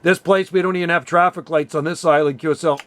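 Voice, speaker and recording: A man's voice: on the high side, fairly even intonation, quick pace, noisy background. A man with a Canadian accent chatting casually and informally as an amateur radio operator.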